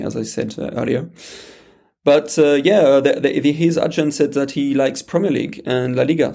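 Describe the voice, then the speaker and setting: A man's voice: mid-range voice, gravelly, darkish tone. A man with a French accent, a guest pundit talking football on a podcast, hesitant with many fillers and restarts. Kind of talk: casual podcast chat.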